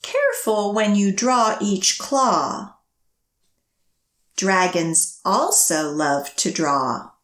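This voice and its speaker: Expressive woman reading slowly to children. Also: mid-range voice, reverberant. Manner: expressive, measured, clearly phrased sing-song delivery. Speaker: children's librarian leading storytime. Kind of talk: read-aloud picture book storytelling and action rhymes for children.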